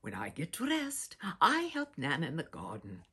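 A woman in her sixties performs a children's read-aloud. Her voice is lowish and very husky, swinging dramatically across a huge range and sinking into breathy whispers. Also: wildly swooping pitch, moderate pace, fairly bright tone. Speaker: storyteller, host. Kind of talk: theatrical children's picture-book read-aloud. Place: small furnished room indoors with bookshelves.

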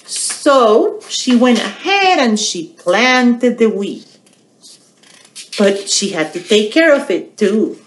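A middle-aged woman hosting a storytime for small children, teaching bilingually and repeating phrases in a deliberate, questioning way. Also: a little high, very animated, roomy sound, a little hiss or hum, rough recording. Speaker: children's storytime host at a public library. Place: small quiet indoor room.

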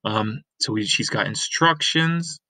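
A man in his thirties narrating a picture story in short, halting descriptive phrases, as a teacher reading aloud. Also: fairly low pitch, animated, pushed and bright, no room sound. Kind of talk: simple read-aloud narration with commentary.

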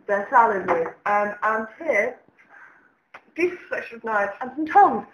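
A young woman giving a mock cookery demonstration as presenter. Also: on the high side. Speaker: cooking show presenter. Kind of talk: mock cooking show demonstration. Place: home kitchen. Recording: echoey kitchen, faint background noise.

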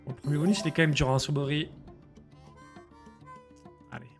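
High-voiced, gravelly streamer guy. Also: brisk, faint music behind. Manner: excitable, slangy, chats with viewers. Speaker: casino streamer. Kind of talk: live stream commentary. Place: small room indoors. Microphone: headset.

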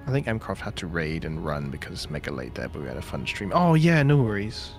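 Tired deep-voiced man reading slowly. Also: very animated, over music. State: tired, croaky. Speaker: stream co-host. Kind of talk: reading a short story aloud.

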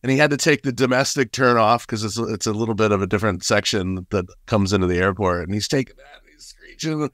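A man in his forties who grew up in Nepal, a guest telling animated stories with frequent laughs over a webcam from a small room. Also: on the low side, quick pace, no room sound, clean studio sound. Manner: animated, laughs often, gestures broadly. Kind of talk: casual storytelling. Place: small room with paper screens. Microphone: video call webcam.

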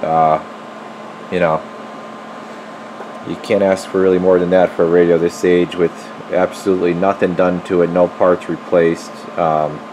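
A man with a fairly low voice talks in an informal, folksy way as he works, like a technician showing a repair. He is recorded on a handheld camera in a workshop, with clear steady equipment noise behind him. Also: moderate pace. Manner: informal, folksy, talking while working. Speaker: radio repair technician presenting his work. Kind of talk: casual hands-on demonstration. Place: indoor electronics workshop. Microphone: handheld camera microphone.

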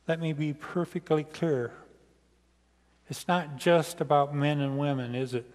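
An older American man delivers a sermon in a fairly high voice. He phrases deliberately and pauses often, as if reading from notes. His words ring with the long reverb of a church sanctuary. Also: a little mellow. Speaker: pastor preaching. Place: large church sanctuary, indoors, seated at the front. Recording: reverberant church hall sound.

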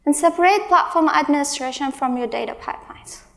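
Conference speaker, woman in her thirties, high voice with gravelly fry, emphatic and exasperated. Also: fairly quick, a bit bright. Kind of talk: tech conference talk with Q&A. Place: large stage in a hall.

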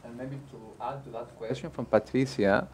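A man's voice: medium pitch, fairly even intonation, moderate pace, a little mellow, breathy edge. A young man moderating a panel, asking careful, probing questions. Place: bright room by large windows over a canal.

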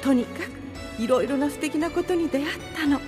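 Gentle high-voiced queen played by a woman. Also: moderate pace, music in the background, natural voice. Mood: nostalgic. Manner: soft, refined, polite. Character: gentle, graceful queen and mother. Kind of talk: Japanese anime acting.

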